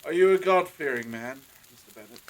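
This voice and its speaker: A man's voice: on the high side, expressive, brisk, lightly acted, low-quality recording. A man playing a pious, genteel village father in a period audio drama, speaking formally and earnestly with some hesitation. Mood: pious, solemn.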